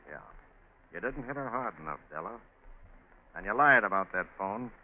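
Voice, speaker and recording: An American actor playing a tough, shrewd police lieutenant, clipped, stern and sardonic, in a 1940s radio crime drama. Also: male voice, lowish voice, expressive, normal speed, lightly acted. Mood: sardonic, accusing. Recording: noisy narrow-band 1940s radio.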